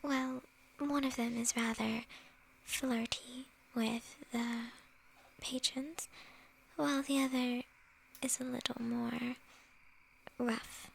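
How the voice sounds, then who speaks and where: A woman voicing a sly, flirtatious witch in an ASMR fantasy roleplay, teasing in a soft, breathy whisper. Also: fairly high pitch, flat delivery, slight background noise, exaggerated voice, rough recording. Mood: gossipy, coaxing. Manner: slow, teasing, with soft chuckles.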